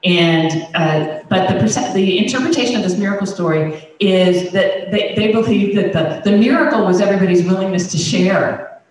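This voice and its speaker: A woman's voice: medium pitch, moderate pace, a little mellow, far from the mic. A middle-aged woman pastor preaching a conversational sermon into a handheld microphone, often restarting her sentences. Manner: gestures broadly while speaking. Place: large wood-paneled church sanctuary. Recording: echoey church sanctuary, voice from afar.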